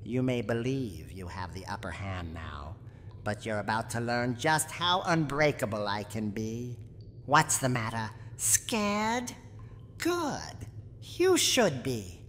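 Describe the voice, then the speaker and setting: A woman voicing a menacing, proud, cranky old granny in a theatrical, deliberate ASMR roleplay monologue. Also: very deep voice, big pitch swings, big-room sound, a little hiss or hum, heavily acted. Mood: taunting. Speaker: roleplay voice actor.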